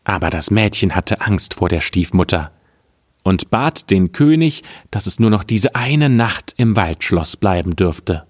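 Low, slow-paced male storyteller. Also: animated, no room sound, studio quality. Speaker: storyteller, podcast narrator. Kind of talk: scripted fairy-tale narration.

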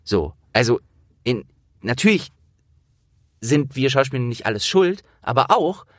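A man's voice: medium pitch, very animated, a little slow, no room sound, studio quality. A man in casual, opinionated conversation, speaking earnestly and emphatically in colloquial language with some swearing. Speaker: interviewer and audio drama producer with acting training.

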